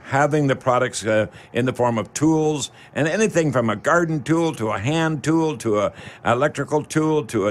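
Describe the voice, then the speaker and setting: A Canadian man hosts a local radio talk show. His voice sits at a middle pitch, and he rambles along in folksy promotional patter, speaking directly to the audience.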